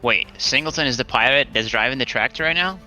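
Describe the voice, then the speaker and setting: Guy playing a tabletop rpg, really bright punchy voice, casual chat, faint music behind. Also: mid-range voice, fast. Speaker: tabletop game player. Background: background music.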